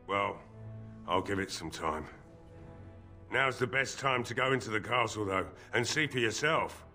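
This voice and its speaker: An actor playing a worried older village father, gruff and plainspoken, a little halting. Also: fairly low pitch, expressive, sharp tone, soft background music, heavily acted.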